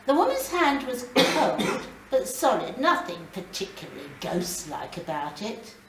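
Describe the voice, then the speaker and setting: A British woman reading a short story aloud in a dramatic, deliberate way. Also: a little high, very animated. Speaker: story reader. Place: live reading in a library. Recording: roomy, distant mic, faint background noise.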